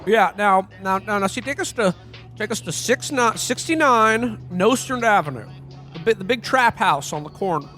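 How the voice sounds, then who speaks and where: A man's voice: high voice, animated, normal speed, bright, forceful tone, faint music behind. A man playing a bewildered, frantic everyman in a radio-play parody, stammering and full of old-fashioned folksy exclamations. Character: frantic, bewildered everyman in an alternate Christmas reality.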